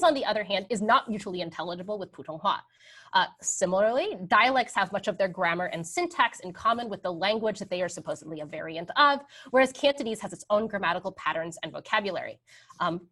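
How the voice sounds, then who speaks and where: A woman's voice: mid-range voice, fairly bright tone. Woman prof talking through her slides, chatty lecture, lots of um and right. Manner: conversational, frequent "um" and "right?" tags. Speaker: academic lecturer.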